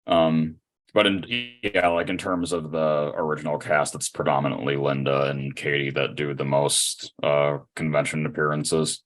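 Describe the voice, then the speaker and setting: A man in a casual podcast chat, explaining things in a halting way. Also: extremely low pitch.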